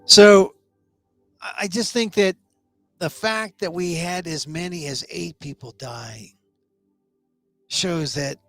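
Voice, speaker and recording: Middle-aged male talk-show host, hoarse and scratchy, slightly higher voice, rambling opinion piece, soft music under him. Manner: opinionated, loose rambling commentary with restarts. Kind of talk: live online talk show commentary.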